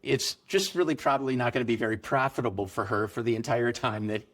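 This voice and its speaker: A middle-aged man, a business co-founder, explaining his trade in a relaxed interview, telling firsthand stories. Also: mid-range voice, fast. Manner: explanatory, anecdotal, with occasional laughs. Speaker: gold business co-founder, guest. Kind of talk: conversational interview answers.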